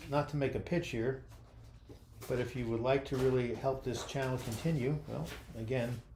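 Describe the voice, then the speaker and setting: An older man hosting a live video chat, reading viewer comments aloud and replying in a friendly, halting way with frequent restarts. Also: medium pitch, a little mellow, a little hiss or hum. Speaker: livestream host answering viewer comments.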